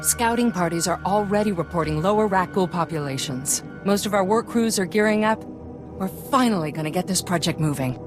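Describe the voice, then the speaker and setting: A woman acts a resolute Republic official in a video game. Her voice is low and carries authority. Loud music runs beneath it. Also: fairly quick, natural voice. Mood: determined. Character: resolute, authoritative female Republic project leader. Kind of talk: scripted game cutscene dialogue. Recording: loud music under the dialogue.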